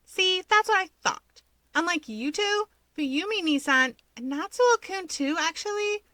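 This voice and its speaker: A woman voicing an excitable, gossipy teenage girl in a story reading, gushing and emphatic. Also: very high-pitched, measured pace, dry recording, pitched above the performer's own voice, heavily acted. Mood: teasing, animated. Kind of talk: acted dialogue in story reading.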